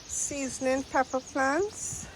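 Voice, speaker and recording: Lady with a stuffy nose showing off her garden, talks real slow, birds chirping in the background. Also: sits high. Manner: slow, unhurried, pointing out plants one by one. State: nasal from a cold. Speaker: gardening vlogger. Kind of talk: casual garden tour narration. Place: outdoor garden. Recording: outdoor noise with birdsong. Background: birds singing.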